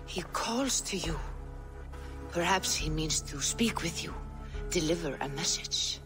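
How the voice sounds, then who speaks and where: A solemn Viking seeress, slow and deliberate, with a mystical, measured delivery. Soft game music plays beneath her. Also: lowish voice, lively intonation. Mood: guiding. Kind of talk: video game cutscene.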